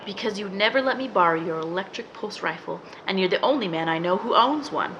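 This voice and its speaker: A woman's voice: mid-range voice, lively intonation, a little fast, dull audio, close to an everyday voice. A woman playing a plucky amateur sleuth in a radio drama, earnest and old-fashioned. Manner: earnest, breathless, old-fashioned heroine talk. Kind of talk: 1940s radio drama acting. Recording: noisy background hiss.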